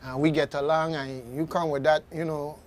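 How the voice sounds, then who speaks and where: A man's voice: a little high, level tone, normal speed. A man with a Caribbean accent hosting a casual radio discussion, folksy and speaking straight to listeners. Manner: folksy, addresses listeners directly. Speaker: radio host.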